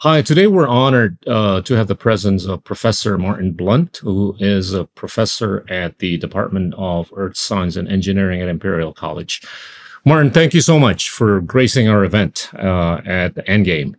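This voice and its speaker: A man's voice: low-pitched, animated, moderate pace. A man hosting an interview, asking his guest questions in a conversational way. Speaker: interview host.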